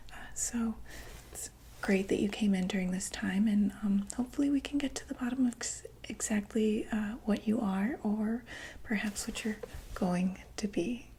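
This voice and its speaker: A woman playing a calm doctor for monsters, asking gentle, patient questions in a soft unvoiced whisper for an ASMR roleplay. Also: medium pitch, creaky, a little hiss or hum.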